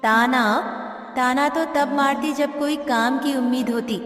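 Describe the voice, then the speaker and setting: A woman acts out a sarcastic village wife from a cartoon, forever scolding and taunting. Her voice is fairly high. It sounds distant and reverberant, with faint music underneath. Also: steady tone, unhurried, a bit bright. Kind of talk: Hindi cartoon moral story dialogue.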